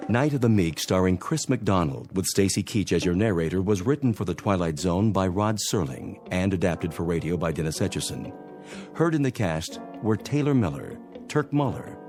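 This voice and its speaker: Low-voiced formal male announcer over music. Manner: formal, measured. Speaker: announcer reading credits. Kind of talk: scripted announcement.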